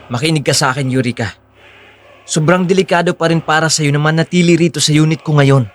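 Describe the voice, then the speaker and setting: Man acting as controlling boyfriend, lightly high voice. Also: fast talker, lightly acted. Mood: wary, pressing. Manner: coaxing, mixes Tagalog with English phrases. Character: controlling, two-faced boyfriend feigning sweet concern. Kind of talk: Filipino audio drama acting.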